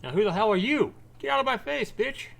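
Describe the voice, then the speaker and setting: A man's voice: very high voice, animated, a little fast, creaky, a bit bright, voice pushed up, exaggerated voice. A man voicing a distraught, defiant father as he reads a visual novel game. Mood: angry, defiant. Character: distraught, hot-tempered father, the game's suspected ex-husband.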